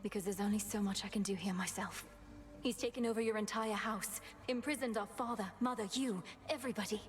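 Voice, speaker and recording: A woman's voice: mid-range voice, fairly even intonation, a little fast, faint music behind, lightly acted. A woman voicing an earnest, determined young witch princess in a video game, speaking in a solemn, formal manner. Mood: grave, determined.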